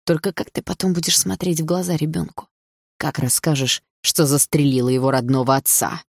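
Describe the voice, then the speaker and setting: Woman voicing a menacing, manipulative husband, low-pitched. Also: normal speed, creaky, darkish tone, breathy edge, dry recording, studio quality, exaggerated voice. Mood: coaxing, taunting. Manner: insinuating, gloating delivery. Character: menacing manipulative husband, obsessive, smug, cruel villain. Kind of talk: audiobook character dialogue.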